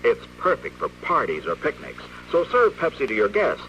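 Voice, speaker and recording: An actor playing an eager, polished announcer in a 1950s radio commercial. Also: male voice, a little high, wildly swooping pitch, moderate pace, bright, forceful tone, a little hiss or hum, full character voice. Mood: upbeat. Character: eager, polished soft-drink pitchman. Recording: distant, dull old radio recording.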